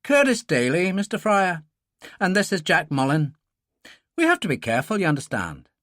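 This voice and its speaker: Guy doing an intense young belfast paramilitary boss for an audiobook, bitter, sardonic, high and swingy. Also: wildly swooping pitch, moderate pace, a bit bright, no room sound, clean studio sound, heavily acted. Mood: wary, sardonic.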